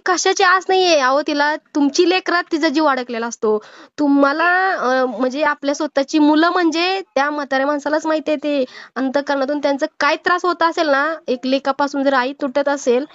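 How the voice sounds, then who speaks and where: High-pitched Marathi woman scolding over phone. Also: fairly quick, a bit bright, no echo. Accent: Marathi, Maharashtra. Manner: scolding, insistent, moralising, rhetorical questions. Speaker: old-age home worker taking a call. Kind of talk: phone counselling conversation, admonishing. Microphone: phone call. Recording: muffled lo-fi phone call recording.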